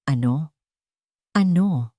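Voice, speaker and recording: A woman storyteller voicing a wary, hesitant woman in an audio love story, disbelieving and hushed. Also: sits low, expressive, extremely slow, dry recording, clean studio sound, heavily acted. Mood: shocked, disbelieving. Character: stunned, wary woman reluctantly agreeing to marriage.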